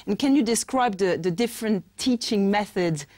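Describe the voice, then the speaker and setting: A woman's voice: medium pitch, expressive, normal speed, a little mellow. Female bulletin presenter and interviewer, mid-range voice, scripted delivery.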